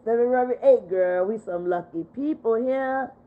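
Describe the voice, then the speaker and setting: A woman in her fifties hosting a casual livestream chat, reading out viewer comments and answering them in an animated way. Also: on the high side, unhurried. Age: 50s. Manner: animated, talks back to viewers. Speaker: livestream host. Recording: phone-like narrow sound.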